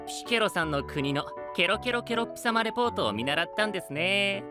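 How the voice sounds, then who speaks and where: A man playing a gentle, childlike young knight with a soft, polite, doting manner in an anime audio drama. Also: high-pitched, animated, fairly quick, over music. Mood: fond.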